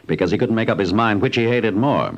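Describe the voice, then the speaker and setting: An actor playing a dry, gruff adventuring companion in a fantasy audio drama. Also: male voice, fairly low pitch, fast talker, muffled recording, lightly acted. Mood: wry. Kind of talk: fantasy radio drama acting.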